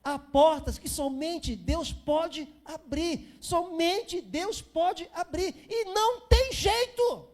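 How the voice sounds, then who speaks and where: A man's voice: very high-pitched, slow, hardly any pauses. A Brazilian man in his fifties preaching an informal sermon as a pastor, repeating phrases for emphasis and chatting to the congregation. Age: 50s.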